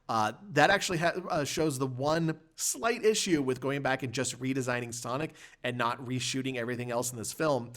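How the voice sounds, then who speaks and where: A man hosting a podcast, casually recapping and reviewing a film in a halting, rambling way. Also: mid-range voice, fairly bright tone. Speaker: podcast host.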